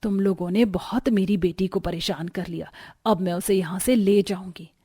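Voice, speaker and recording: A woman's voice: medium pitch, steady tone, normal speed, dark tone, a little hiss or hum, pitched below the performer's own voice, natural voice. A woman voicing a firm, protective middle-aged mother of a bride in a cartoon story. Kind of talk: Hindi cartoon story acting.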